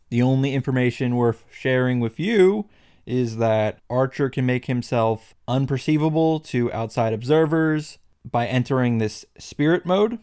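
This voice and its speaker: A man's voice: medium pitch, a little mellow, dry recording, clean studio sound. A man recapping a visual novel as a host, wry and irreverent, with joking asides and swearing for comic effect. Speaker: video game summary host. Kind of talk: sarcastic story recap with asides.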